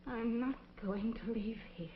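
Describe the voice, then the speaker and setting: A woman's voice: fairly high pitch, a little slow, natural voice. An actress playing a sick, weary, proud and lonely woman in a 1940s film melodrama, stubborn and plaintive. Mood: weary, defiant. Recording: dull, echoey, noisy vintage film soundtrack.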